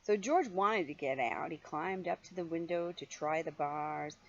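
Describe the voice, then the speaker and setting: A woman's voice: deep voice, moderate pace, highs cut off, slight background noise. An older woman, a grandmother reading a children's story expressively, with chatty questions to her young listener.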